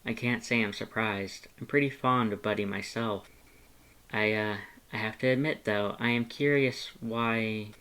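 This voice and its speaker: Guy reading as a gentle shelter worker, kinda hesitant and polite, everyday soft voice. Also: on the low side, steady tone, unhurried, clear, resonant tone. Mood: tentative, friendly.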